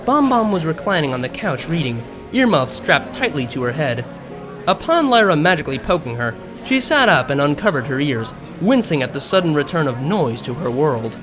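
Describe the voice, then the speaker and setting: Male narrator, fairly high voice, very lively intonation, expressive storytelling, music behind. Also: moderate pace. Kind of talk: scripted audiobook-style story narration.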